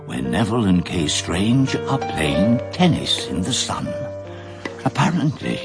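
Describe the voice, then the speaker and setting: A man narrating a radio drama in a slow, ominous, dramatic manner. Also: low voice, big pitch swings, gravelly. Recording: music underneath.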